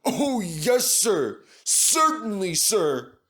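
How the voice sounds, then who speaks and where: A man voicing an eager, excitable steam engine in a storybook, exclaiming in short repeated phrases. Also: high-pitched, drawn-out words, voice pushed up, full character voice. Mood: delighted.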